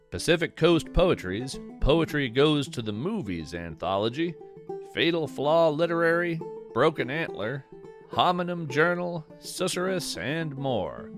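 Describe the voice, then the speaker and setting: A man reading scripted podcast credits as host, in a measured and articulate way. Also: a little high.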